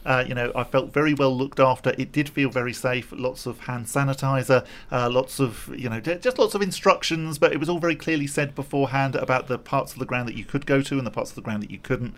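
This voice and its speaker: A man's voice: medium pitch, quick pace, few pauses. A man, a radio presenter, chatting casually as he narrates his arrival and then talks it over in the studio. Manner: chatty, self-deprecating, lots of fillers. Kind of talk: casual on-the-go commentary and studio chat. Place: in a car, then walking outdoors at a stadium.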